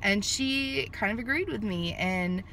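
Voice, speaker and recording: A woman's voice: medium pitch, unhurried, a bit bright, slight background noise. Chatty woman vlogging from her car. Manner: chatty and rambling, with many fillers and restarts. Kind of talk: casual chat to camera. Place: parked car in a sunny lot. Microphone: handheld phone at arm's length.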